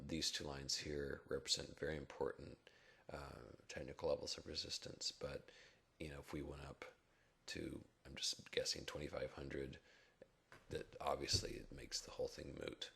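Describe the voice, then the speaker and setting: A man with a rough early-morning voice, giving halting, reflective, unscripted commentary on stock charts in a casual way. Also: extremely low pitch, fairly bright tone. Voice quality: rough, gravelly, husky. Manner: halting, reflective, thinking aloud. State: rough early-morning voice, not yet warmed up. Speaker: stock market blogger and chartist.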